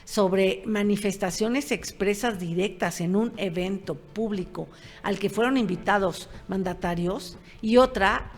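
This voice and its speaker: A woman co-hosting a political commentary show, explaining points and speaking straight to viewers. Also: mid-range voice. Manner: addresses viewers directly, explanatory. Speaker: show co-host. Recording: long reverb.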